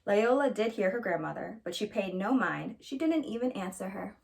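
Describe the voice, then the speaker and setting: A woman reading a children's storybook aloud as a storyteller, in an expressive narrating style. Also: medium pitch, moderate pace, creaky.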